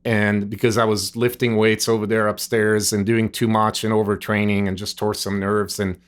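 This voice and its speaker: A low-voiced middle-aged man with a French-speaking Swiss accent recounts his past as a podcast guest. His English is earnest and rambling, full of "like" and "you know". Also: flat delivery, normal speed. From Switzerland. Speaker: guest, nutrition store owner. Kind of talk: storytelling interview answers. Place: gym.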